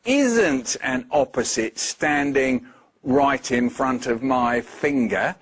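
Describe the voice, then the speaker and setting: A man's voice: medium pitch, animated, takes it slowly, husky. A man voicing an earnest, indignant young boy in a children's storybook, speaking emphatically and drawing out his words. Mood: deliberate, sly.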